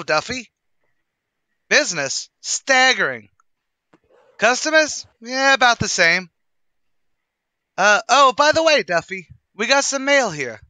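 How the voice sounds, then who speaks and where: A man's voice: extremely high pitch, bright, forceful tone, dry recording, full character voice. An actor playing a brash, boastful male tavern manager, in a wisecracking 1940s radio comedy style. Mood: breezy.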